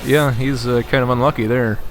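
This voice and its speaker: A man's voice: mid-range voice, steady tone, a little fast, a crowd in the background. A man giving casual, conversational game commentary as a co-commentator, with hesitant, reflective phrasing.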